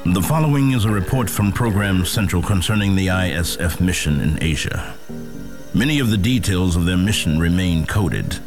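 A man acting a formal, ominous announcer with a deep voice. He delivers a deliberate, scripted mission report over clearly audible music. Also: animated. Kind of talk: English-language scripted announcement. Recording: music under the voice.

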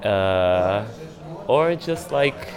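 A young man from Israel chatting casually as an interview guest, speaking in a hesitant, reflective, halting way. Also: fairly low pitch, expressive, crowd noise. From Dimona, Israel. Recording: music playing behind the voice.

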